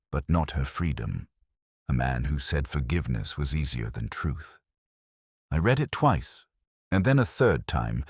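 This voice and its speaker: Male narrator, extremely low voice, expressive pitch, measured and reflective delivery. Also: husky, no echo, clean studio sound. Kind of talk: scripted first-person detective narration.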